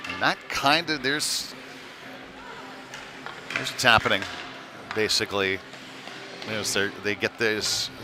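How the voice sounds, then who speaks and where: A man calling live hockey play-by-play in halting, unscripted phrases with trailing pauses. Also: lowish voice. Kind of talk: live sports commentary. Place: indoor ice rink during a live stream. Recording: noisy rink ambience behind the voice.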